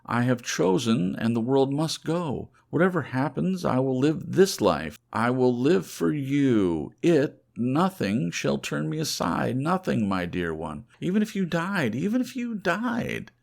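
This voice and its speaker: A man's voice: medium pitch, big pitch swings, measured pace, vocal fry, hardly any pauses, a little mellow, pitched above the performer's own voice. Man acting a passionate lover, raised impassioned voice. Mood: fervent defiance. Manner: impassioned, declamatory. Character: passionate, defiant man devoted to his love. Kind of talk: audiobook character voice.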